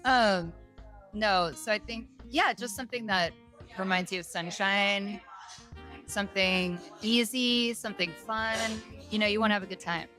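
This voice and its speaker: A woman's voice: mid-range voice, unhurried, bright, forceful tone, soft background music. A woman guest on a casual interview, explaining things in an easygoing way as she thinks aloud. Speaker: guest, wine bar owner.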